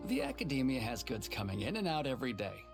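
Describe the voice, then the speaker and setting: A woman's voice: extremely low pitch, wildly swooping pitch, quick pace, over music, full character voice. A woman voicing an offhand, matter-of-fact academy scholar in a fantasy game. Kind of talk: game voice acting.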